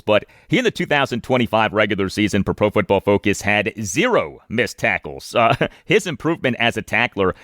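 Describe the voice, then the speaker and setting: An American man hosting a sports interview, putting prepared questions in a deliberate, formal way. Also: lowish voice, a bit bright, dry recording, clean studio sound. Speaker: sports podcast host, interviewer.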